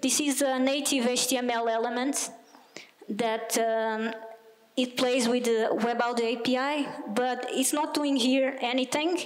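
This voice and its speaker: A young woman giving a technical talk with a live demo, explaining step by step in a halting way. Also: on the high side, flat delivery. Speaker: conference speaker, product designer. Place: small indoor meetup venue, on stage before seated audience. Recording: long hall reverb.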